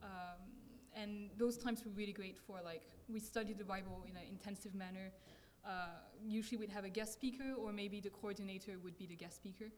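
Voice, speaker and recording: A young woman from France presenting her campus ministry work with slides, soft-spoken and pausing to search for words. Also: medium pitch, fairly even intonation, a little hiss or hum.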